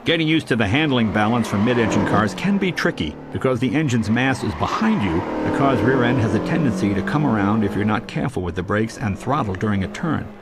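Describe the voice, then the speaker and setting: A man giving scripted, instructional narration as a driving tutor, clearly enunciated, with racing car noise behind him. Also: on the low side, expressive, a little fast, few pauses. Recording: loud race car engine noise. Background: racing game car sounds.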